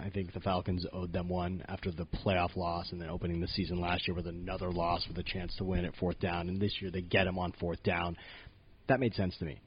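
Excitable man hosting a sports podcast. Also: deep voice, husky. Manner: excitable, gasping with enthusiasm. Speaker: podcast host. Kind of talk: casual sports chat. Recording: dull lo-fi podcast audio.

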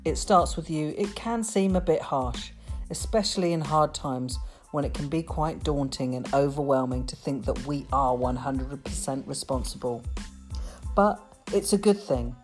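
A woman's voice: rumbling low, moderate pace, a little mellow, faint music behind. A woman hosting a self-development podcast, talking in an upbeat, chatty way and laughing often. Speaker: podcast host. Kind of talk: casual interview chat.

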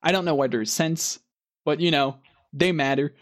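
Higher-voiced man rambling casually. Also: normal speed. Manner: rambling, many fillers and restarts. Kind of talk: casual chat.

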